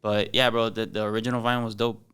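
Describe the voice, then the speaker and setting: A man's voice: on the low side, flat delivery, fast talker, a bit bright, clean tone. A young man from Miami with a Cuban-American accent, chatting casually as a podcast guest, slangy and loose, dropping in Spanish words. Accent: Miami Cuban-American English. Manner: loose slangy talk mixing in Spanish, many "like"s and "bro"s. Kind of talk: casual chat.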